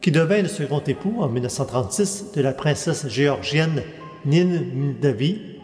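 A man with a somewhat high voice reads a biographical narration at a steady, measured pace. His voice rings through a long, echoing hall, and faint music plays underneath. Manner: steady, measured reading of dates and names.

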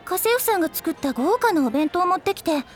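A gentle teenage schoolgirl tells an old friend's story in a soft, earnest way. Her voice sits very high, and music plays clearly behind her in this anime drama CD. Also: expressive, quick pace. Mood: worried.

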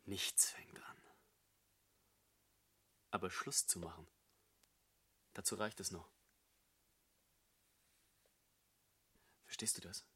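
A man's voice: sits low, steady tone, slow pace, pushed and bright, slightly rough, in a whisper, heavily acted. An actor playing an embittered, despairing jobless man of thirty in a German radio drama, brooding and sarcastic. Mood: resigned, despairing. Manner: bitterly sarcastic, brooding, emphatic.

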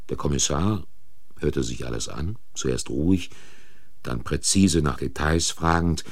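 A man wrongly cornered in a radio crime play. His low, slightly rough voice moves widely in pitch. He speaks with emphatic indignation, tending to stammer as he defends himself. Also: lively intonation, a little slow, heavily acted. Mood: bitter narrating. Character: agitated man accused of murder, pleading his innocence. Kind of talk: German radio crime drama acting and first-person narration. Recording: vintage radio play recording.

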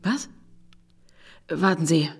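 A woman's voice: low-pitched, animated, takes it slowly, husky, exaggerated voice. An actress playing a sardonic married city woman in an audio drama, speaking in a dry, ironic, conversational way. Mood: teasing. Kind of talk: German audio drama acting.

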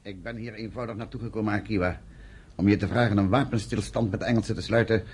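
An actor playing a pragmatic militia leader in a radio play, a man speaking in a deliberate, persuasive way. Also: lowish voice, steady tone, darkish tone, husky. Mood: firm, persuasive. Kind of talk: Dutch radio play acting.

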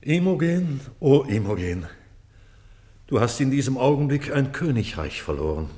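A man's voice: medium pitch, big pitch swings, moderate pace, dark tone, lightly acted. An actor playing a stern yet grieving king torn between law and mercy, declaiming solemnly in a classical radio drama. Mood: moved, relieved.

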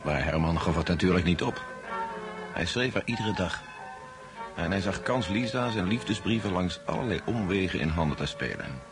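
Radio play narrator: wry male storyteller, deep low voice, clear music underneath. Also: lively intonation, normal speed. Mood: engaged. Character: male storyteller recounting a romance to friends. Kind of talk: dramatised storytelling in radio drama.

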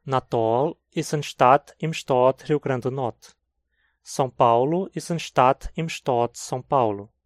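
A man from southern Brazil with a Hunsrik German accent, reading a scripted textbook dialogue in a slow, clear teaching manner. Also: mid-range voice, no echo, clean studio sound. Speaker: language course reader.

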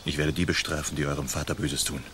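Very deep, hushed, gallant outlaw. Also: male voice, level tone, fast, noisy background. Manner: hushed, seductive, courtly. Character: bold, charming masked outlaw, ardent and gallant suitor. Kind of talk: radio play acting.

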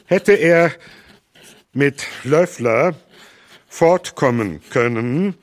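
A man playing a worried, stern businessman reading out his letters in a measured, formal way. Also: on the high side, expressive. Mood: agitated, critical.

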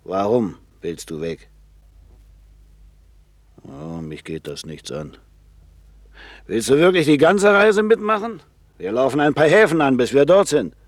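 An actor playing a proud, knowing seafarer in a 1960s German radio play, with a musing storyteller's manner. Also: male voice, medium pitch, big pitch swings, no room sound. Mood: curious, gruffly obliging. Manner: slow, musing, storytelling, with swings from boastful to confiding.

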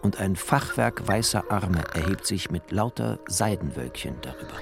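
An actor playing a bookish, tender and wry first-person narrator with poetic, deliberate phrasing in a literary radio drama. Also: male voice, sits low, animated, vocal fry, music in the background.

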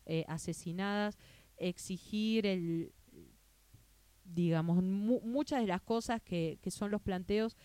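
A woman's voice: medium pitch, slow pace, warm tone, clear, resonant tone, dry recording. A woman with an Argentine Spanish accent hosting a casual talk show on a radio studio mic, with frequent fillers and restarts. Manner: hesitant, many fillers and restarts. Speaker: radio news show host.

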